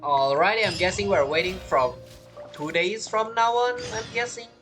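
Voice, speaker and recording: A woman's voice: medium pitch, normal speed. A woman who is not a native English speaker, giving casual game commentary and thinking aloud. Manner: thinks aloud, muttering reactions. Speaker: gameplay streamer. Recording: game music behind commentary.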